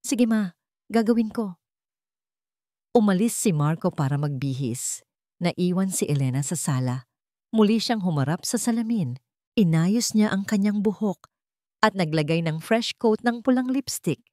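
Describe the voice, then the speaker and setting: Mababang boses ng babaeng Pilipinang tagapagsalaysay. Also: female voice, on the low side, animated, normal speed, a little mellow, dry recording, clean studio sound. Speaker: audiobook narrator. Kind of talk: dramatic scripted story narration.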